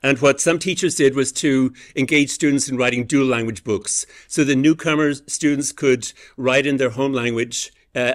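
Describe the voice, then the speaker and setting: A man giving an academic lecture as an education professor, explaining ideas in a measured way with frequent hesitant fillers. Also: medium pitch.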